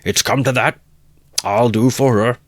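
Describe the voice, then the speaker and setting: A brutal drunken peasant carter, bellowing orders and threats, as a male narrator puts him on for a storybook reading. The voice climbs well above its usual deep register and rings out loud and rough. It sounds dry and close. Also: fairly even intonation, measured pace, dry recording, close to an everyday voice. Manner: loud bullying shouts.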